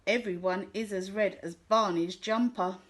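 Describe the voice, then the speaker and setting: A woman voicing a lively small girl who is new at school and talks in an excited, chatty way. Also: medium pitch, measured pace, slight background noise, lightly acted.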